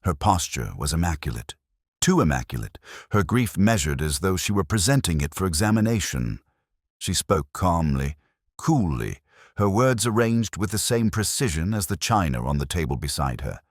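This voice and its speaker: A man playing an ageing, melancholy police inspector, narrating a detective story in a measured, literary, brooding manner. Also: low voice, very animated, no room sound, clean studio sound. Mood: brooding, reflective. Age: older. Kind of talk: first-person scripted detective story narration.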